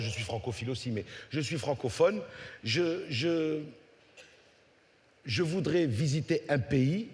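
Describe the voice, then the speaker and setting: A man's voice: mid-range voice, darkish tone. A man moderating a conference panel, asking long roundabout questions with many hesitations. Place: conference hall. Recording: reverberant conference hall.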